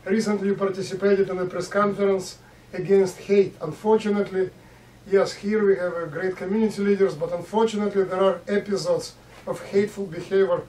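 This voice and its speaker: High-voiced man moderating, distant and roomy. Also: steady tone, a little fast, darkish tone. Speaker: forum moderator and host. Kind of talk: addressing panel at community forum. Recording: roomy, distant mic, faint noise.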